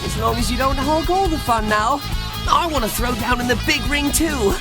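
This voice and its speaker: Woman voicing a high-pitched eager demon scrapper. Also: moderate pace, over loud music, natural voice. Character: eager, scrappy demon teammate itching to fight.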